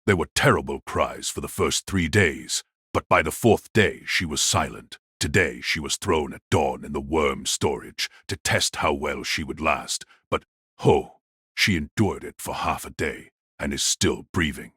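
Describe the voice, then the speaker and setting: A man voicing a wicked, cold old sorcerer patriarch in an audiobook drama, speaking in a slow, mocking, condescending way. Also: extremely low pitch, pushed and bright, husky, no room sound, studio quality, exaggerated voice. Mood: gloating.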